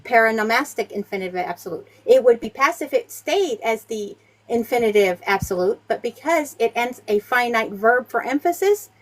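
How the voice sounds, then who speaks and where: A woman's voice: fairly high pitch, animated, moderate pace, few pauses. A woman questioning debaters in a live online debate, pressing a technical grammar point and talking over the others.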